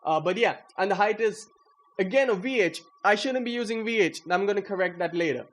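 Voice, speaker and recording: A young man giving a casual, slangy walkthrough of his code, excitable and self-deprecating. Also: sits high, brisk. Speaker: coder presenting own project. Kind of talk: casual screen-recorded coding walkthrough.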